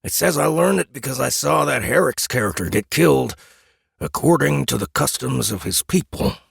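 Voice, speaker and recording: A man playing a gruff, wisecracking old man in a fantasy audiobook, with a folksy, grumbling, irreverent way of talking. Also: medium pitch, animated, normal speed, fairly bright tone, dry recording, studio quality, voice pushed up, exaggerated voice. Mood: dismissive. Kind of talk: fantasy audiobook character acting.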